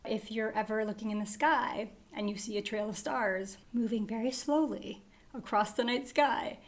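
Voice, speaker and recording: Lively woman with a higher voice. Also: moderate pace. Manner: lively, laughs while telling stories. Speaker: podcast book club member. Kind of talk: casual chat and segment hosting.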